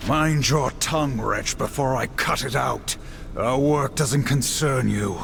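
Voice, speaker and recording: A man's voice: mid-range voice, slow pace, creaky, a bit bright, slight background noise. An actor playing a stern, humorless, duty-bound warrior horseman in a video game, speaking in a grave, formal, commanding way. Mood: stern, threatening. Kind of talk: video game cutscene acting.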